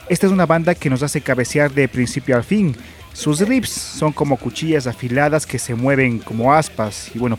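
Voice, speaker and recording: A man hosting a podcast interview, speaking haltingly and often repeating words. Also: medium pitch. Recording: music playing behind the voice. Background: music.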